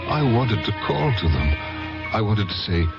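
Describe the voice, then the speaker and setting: An actor playing a haunted man who haltingly recounts a painful memory to his doctor, in old-time radio drama style. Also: fairly low pitch, sing-song, creaky. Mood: troubled. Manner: halting, anguished, stammering recollection. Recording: dull vintage radio recording, loud music behind.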